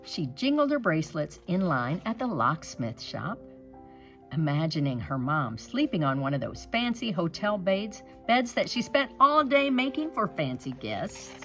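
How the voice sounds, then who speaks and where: A woman reads a children's picture book aloud with expressive, dramatic storytelling. Her voice sits on the low side for a woman yet rises and falls very freely, and faint music plays underneath.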